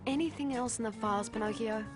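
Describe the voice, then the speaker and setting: A woman playing a brisk, practical fairy-tale police detective in a cartoon, questioning and no-nonsense. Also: fairly high pitch, music in the background, natural voice.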